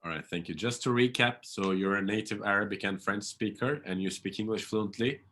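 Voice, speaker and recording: A man hosting an interview podcast, asking questions in an earnest, measured way. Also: on the low side, pushed and bright. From Turkey. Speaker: podcast host.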